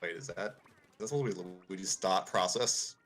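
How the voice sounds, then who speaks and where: A man's voice: deep voice, fairly quick, a bit bright, slight background noise. A man narrating a fan script casually, informally breaking off to make side comments. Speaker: narrator and reader.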